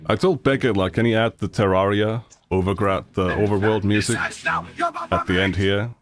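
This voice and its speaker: A man from Finland with a Finnish accent, speaking English as a second language and giving a blunt, rambling reaction commentary full of fillers. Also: fairly low pitch. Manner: rambling, halting with many fillers, blunt and profane. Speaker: streamer reacting to videos.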